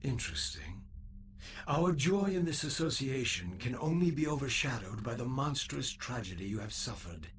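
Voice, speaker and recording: A man's voice: medium pitch, sing-song, normal speed, lightly acted. Ceremonious alien envoy, played by a man. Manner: formal, florid, declamatory. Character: courtly, ceremonious alien envoy, grandiose and grave. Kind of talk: cinematic video game acting.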